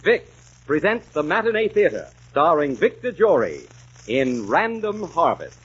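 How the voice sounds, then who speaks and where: A man's voice: a little high, sing-song, measured pace, slightly rough. A man announcing in the style of a 1940s radio sponsor spot. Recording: muffled old radio recording.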